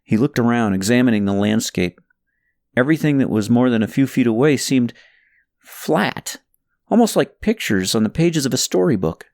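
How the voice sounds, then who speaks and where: A man narrating a story from a script in an expressive, suspenseful, dramatic way. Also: mid-range voice, moderate pace, no echo, studio quality. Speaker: audiobook narrator reading own novel.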